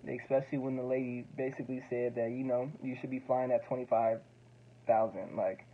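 A man's voice: medium pitch, fairly even intonation, brisk. Young man in his 20s, casual slangy explainer, muffled phone-recorded sound with light hiss. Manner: casual slangy, repeats himself. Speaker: student pilot explaining recordings. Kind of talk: casual explainer over playback.

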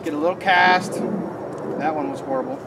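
A man's voice: high-pitched, normal speed, gravelly. Laid-back man demoing gear in the woods. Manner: relaxed, chatty, laughs easily. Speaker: outdoors channel host. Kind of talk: casual how-to demonstration. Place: outdoors in a leafy forest. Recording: faint outdoor background noise.

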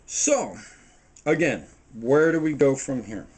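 A man's voice: mid-range voice, slow pace, slightly rough. A middle-aged man hosting a home cooking video, giving a rambling, preachy monologue while baking in a small kitchen, picked up by a camera mic a few feet away. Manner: preachy, animated, talks while working with his hands. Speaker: home cooking show host. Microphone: camera mic on the table a few feet away.

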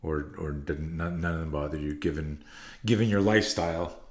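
Brief: very low male host, relaxed, easygoing interviewer. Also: a little fast. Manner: laid-back, encouraging, swears casually. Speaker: podcast host. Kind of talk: casual interview chat.

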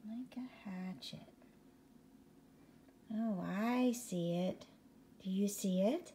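A woman reads a bedtime picture book in a mellow, gentle voice that often drops toward a whisper. She takes her time and puts questions to a young listener. Faint room noise sits behind her. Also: mid-range voice, slow. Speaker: bedtime story reader. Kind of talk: reading a picture book aloud to young children.

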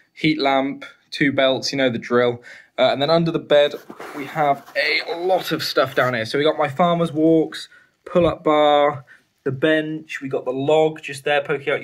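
A young man in his twenties, a fitness vlogger, chatting upbeat through a home gym tour, filmed on a handheld phone in a small bedroom. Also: mid-range voice. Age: 20s. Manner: upbeat, chatty, many fillers. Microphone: handheld phone camera.

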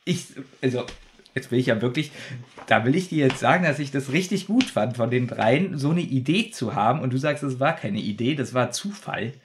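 A man's voice: mid-range voice, lively intonation, normal speed. A man co-hosting a podcast in casual debate, insistently repeating his point. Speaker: podcast co-host.

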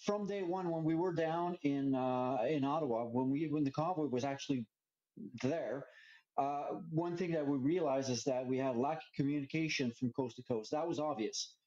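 A man's voice: a little high, moderate pace, dark tone, echoey room, muffled recording. A middle-aged man from Quebec, a native French speaker talking in English with a French Canadian accent, speaking earnestly with many fillers as a guest on a video call. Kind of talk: casual chat.

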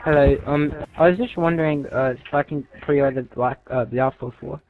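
A man's voice: a little high, fairly even intonation, brisk, darkish tone, no room sound, low-quality recording. A young man making casual, foul-mouthed and taunting prank calls. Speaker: prank caller.

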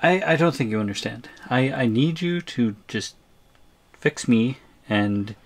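Bewildered shrunken male student, wildly swinging pitch man acting. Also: animated, measured pace. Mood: exasperated, pleading. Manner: stammering, incredulous. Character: bewildered, exasperated shrunken male student, three inches tall. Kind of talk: roleplay audio drama.

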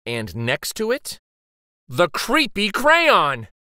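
Slow, animated male storyteller. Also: sits high, clean tone. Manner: theatrical suspenseful pauses and emphasis. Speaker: picture book read-aloud host. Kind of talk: dramatic children's story narration.

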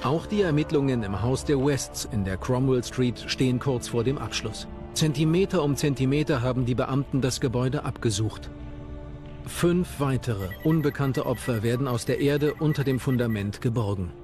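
A man's voice: mid-range voice, normal speed. Male true-crime narrator over music. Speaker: documentary narrator. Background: background music.

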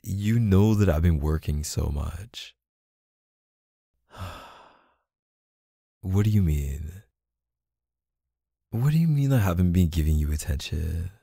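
A deep, mellow boyfriend acted by a man in an intimate roleplay audio, soothing a neglected partner. He speaks slowly and casually, hesitating and coaxing in an apologetic way. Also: expressive, vocal fry, no room sound, clean studio sound, exaggerated voice. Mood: defensive, pleading. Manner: casual, hesitant, sighing, coaxing with pet names. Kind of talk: boyfriend ASMR roleplay, casual intimate one-sided dialogue.

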